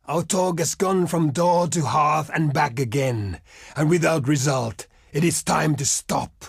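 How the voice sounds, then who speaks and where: An actor playing a proud, gruff barbarian chieftain in a video game, declaiming portentously in a gravelly, husky voice. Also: male voice, a little high, no echo, clean studio sound, heavily acted. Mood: exasperated. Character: proud, gruff barbarian chieftain envoy, earthy, superstitious, warlike. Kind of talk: theatrical video game diplomacy voice lines.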